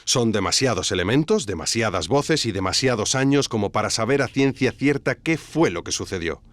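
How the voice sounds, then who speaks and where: An actor as an earnest male documentary narrator. His voice is lowish and expressive, dramatic and rhetorical, recorded dry and close with faint music beneath. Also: normal speed, dry recording, close to an everyday voice. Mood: solemn, intrigued. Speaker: host narrator.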